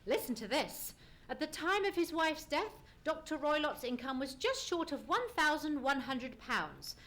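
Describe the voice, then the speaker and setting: Woman acting shrewd gentleman sleuth, high voice, very animated, precise formal diction, commanding, radio drama. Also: a little slow, full character voice. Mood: brisk, serious. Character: brisk, assured, shrewd male gentleman detective. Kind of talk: live old-time radio play acting.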